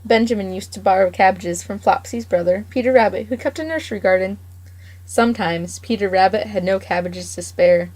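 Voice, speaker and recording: A woman reads a children's storybook aloud, gentle and expressive, lingering on the story's moments. She stumbles now and then and calmly corrects herself before moving on. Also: medium pitch, fairly quick, low-quality recording. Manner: gentle, expressive read-aloud with small slips and self-corrections.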